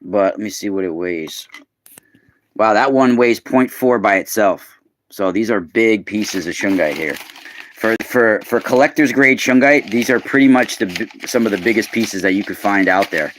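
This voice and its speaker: A man's voice: lowish voice, normal speed. Chatty man in his forties, youthful voice. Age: 40s. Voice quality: youthful-sounding voice. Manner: chatty, talks to viewers by name, bursts of excitement. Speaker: live stream host. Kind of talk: casual live unboxing chat.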